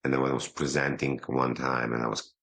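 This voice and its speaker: Very low, gravelly man with an accent. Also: flat delivery, fairly bright tone. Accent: non-native English accent. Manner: quick talker who slows himself down, pausing instead of fillers. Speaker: podcast guest. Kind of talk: casual interview talk.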